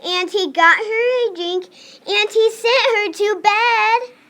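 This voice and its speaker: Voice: extremely high pitch, steady tone, slow, sharp tone. A young school-age child reading a storybook script aloud in a readers theater performance. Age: child, elementary school.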